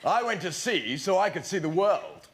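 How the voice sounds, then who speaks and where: An actor playing a boastfully manly, dim British prince in a comic royal parody sketch. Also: male voice, high-pitched, sing-song, moderate pace. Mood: smug.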